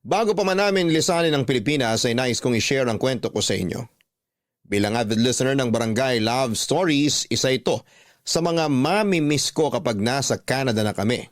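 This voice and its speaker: A man narrating a listener's letter as a scripted radio drama story in a measured storytelling manner. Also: mid-range voice, no echo, clean studio sound.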